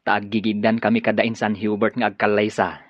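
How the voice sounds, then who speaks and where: A man's voice: fairly low pitch, flat delivery, fairly quick, no room sound, highs cut off, natural voice. A man playing a devoted, affectionate young suitor in a radio drama, speaking coaxingly with an Ilocano accent. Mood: reassuring.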